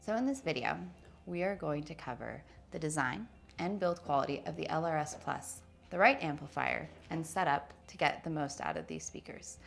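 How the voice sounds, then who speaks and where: A woman in her thirties with a fairly low voice and plenty of vocal fry. She presents a product review to camera, talking conversationally and leaning on key words for emphasis. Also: a bit bright. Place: indoor showroom with wood-slat wall.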